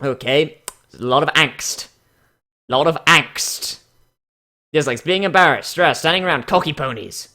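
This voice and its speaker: Bright, higher-pitched man, mocking. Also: measured pace, long pauses. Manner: swears freely, mocking and theatrical. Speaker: host of a dramatic reading show. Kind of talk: sarcastic profane read-aloud with casual commentary.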